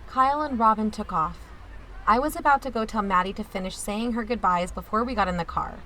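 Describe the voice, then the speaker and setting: A protective, watchful aunt, played by a woman in a mystery audio drama. Her voice is bright and forward, conversational and wry, over faint background noise. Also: medium pitch, a little fast, close to an everyday voice, low-quality recording. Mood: uneasy narration. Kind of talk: first-person audio drama narration.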